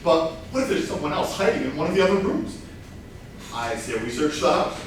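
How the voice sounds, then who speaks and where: A man's voice: on the high side, sing-song, a little fast. A man playing an aggrieved, scheming party crasher in a comic whodunit, hesitant yet indignant, at a staged reading. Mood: hesitant, realizing. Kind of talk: staged comedy play reading. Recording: echoey hall, far mic, faint noise.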